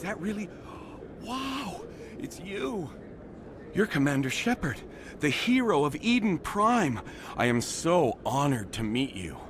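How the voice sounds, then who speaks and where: A man's voice: fairly high pitch, sing-song, unhurried. A man playing a starstruck, awkward fan in a video game, gushing and nervously hesitant. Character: starstruck, awkward male fan of a war hero. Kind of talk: video game character acting. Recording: clear background ambient noise.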